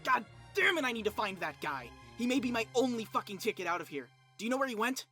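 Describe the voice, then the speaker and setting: A woman's voice: a little high, very animated, fast talker, a bit bright. Woman as grouchy sarcastic teenage troll boy, music behind. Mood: urgent, angry. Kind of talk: fan comic dub dialogue.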